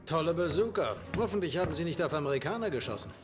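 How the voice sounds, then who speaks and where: Cocky, higher-pitched soldier, music behind. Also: male voice, sing-song, fast. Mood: wry. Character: cocky, defiant American soldier, wry and hard-boiled. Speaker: video game character in cutscenes. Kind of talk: dramatic game cutscene dialogue.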